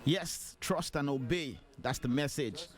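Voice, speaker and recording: A man with a Nigerian accent whose first language is Yoruba, hosting a radio interview and talking quickly with many fillers. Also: a little high, music in the background. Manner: fast, animated, with frequent fillers. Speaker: radio host.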